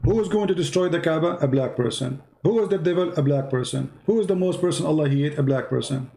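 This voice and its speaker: Man, insistent, lecturing, repetitive, slightly high voice, mellow tone. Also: quick pace, gravelly. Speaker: host. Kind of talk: heated call-in debate.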